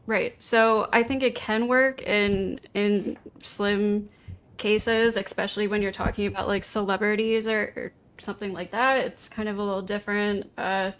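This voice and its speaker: A woman's voice: mid-range voice, steady tone, normal speed, a bit bright. A woman in her thirties on a debate panel, hesitant and full of "like" fillers. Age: 30s. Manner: hesitant, filler-heavy, says "like" often. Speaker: panel guest. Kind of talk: casual debate.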